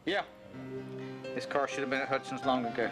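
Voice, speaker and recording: A man's voice: medium pitch, steady tone, a little fast. A man delivering scripted promotional narration as a business spokesman. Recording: loud music behind the voice. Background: loud guitar music.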